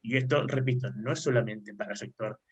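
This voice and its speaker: A man's voice: mid-range voice, brisk, husky. A man from Argentina with an Argentine accent, speaking as a panelist in an online panel discussion. He explains his points at length and often checks in with a questioning "¿sí?". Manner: frequent questioning "¿sí?" tags, explanatory. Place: remote online conference.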